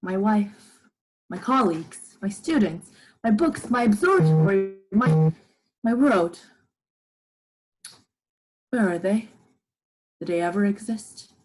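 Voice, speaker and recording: Husky, haunted survivor professor, acted by a woman. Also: medium pitch, animated, takes it slowly, long pauses, darkish tone, low-quality recording. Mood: brooding. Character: weary, haunted male astronomy professor, lone survivor of an invasion. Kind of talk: dramatic radio play monologue, journal narration. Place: living room at home.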